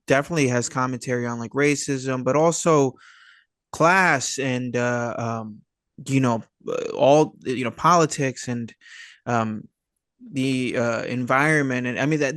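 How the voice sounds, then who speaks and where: An American man in his thirties from Long Island, chatting casually as an interview guest in a halting, searching, slangy way. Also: medium pitch, no echo, studio quality. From Long Island, New York, USA. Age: 30s. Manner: halting and searching, laid-back slangy, often saying "man" and "you know". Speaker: guest, actor.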